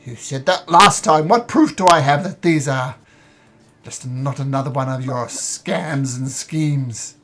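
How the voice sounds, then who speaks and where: A man with a croaky cold voicing a sharp-tongued, greedy old grandmother in a tabletop game. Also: measured pace, soft background music. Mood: suspicious. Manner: scolding, shrill and loud.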